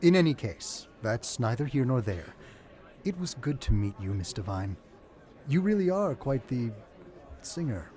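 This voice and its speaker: A man's voice: medium pitch, big pitch swings, measured pace, mellow. An actor playing a proud, severe self-made rich father in a radio drama, speaking formally and bluntly. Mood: formal, gracious.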